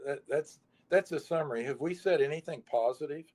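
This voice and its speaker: A man giving conversational interview commentary, halting and repeating words as he starts. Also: fairly high pitch, darkish tone. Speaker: interview guest.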